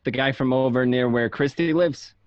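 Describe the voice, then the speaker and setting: A man's voice: mid-range voice, moderate pace, clean tone, no echo, natural voice. A man acting a casual, streetwise gangster who narrates his own life in an amateur radio crime drama. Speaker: actor in an online radio play. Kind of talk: amateur script reading, first-person crime drama. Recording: dull lo-fi home connection.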